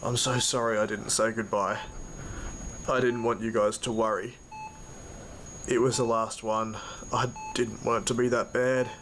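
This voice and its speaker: An actor playing a badly injured, weary man, narrating his ordeal in halting fragments over heavy noise in an audio drama. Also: medium pitch, level tone, rough recording. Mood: sorrowful, tender. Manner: halting, broken into short fragments. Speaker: narrator and lead character. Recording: loud noise behind the voice.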